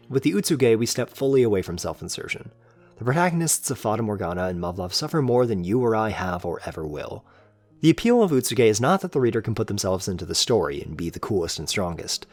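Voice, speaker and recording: A man reading scripted, analytical video essay narration in an earnest, emphatic and articulate way. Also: lowish voice.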